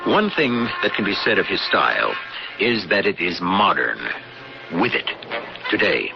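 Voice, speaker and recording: Animated low-voiced male radio narrator. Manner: measured, theatrical, sententious. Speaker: host and narrator. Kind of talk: dramatic scripted radio narration. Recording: muffled old radio broadcast. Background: loud-ish music.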